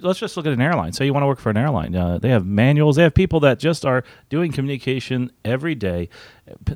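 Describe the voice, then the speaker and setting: A man hosting a conversational interview, energetic and quick with frequent fillers. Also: medium pitch, no room sound, clean studio sound. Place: university.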